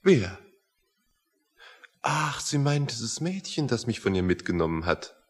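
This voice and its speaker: An actor in a radio drama playing a sinister, needling man, unnervingly calm and mock-polite, with a husky, creaky voice and insistent manner. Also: medium pitch, unhurried. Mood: smug, feigned innocence.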